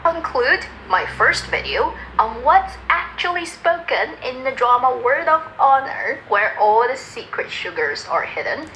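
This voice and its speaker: A woman explaining the lines of a drama in casual talk, animated and laughing often as she goes. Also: sits high, unhurried, few pauses, slight background noise, low-quality recording. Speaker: commentator explaining drama dialogue. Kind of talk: explanatory chat.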